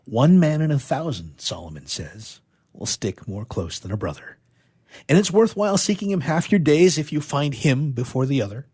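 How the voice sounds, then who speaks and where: A man, a radio theatre player, giving an expressive reading of verse. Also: medium pitch, moderate pace, husky.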